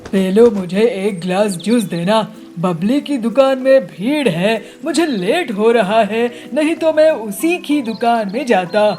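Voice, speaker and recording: महिला की आवाज़ में सीधी बात करने वाला पक्षी डॉक्टर. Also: female voice, on the high side, lively intonation, unhurried, dark tone. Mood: hurried. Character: hurried male bird doctor. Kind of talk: children's cartoon story voice acting.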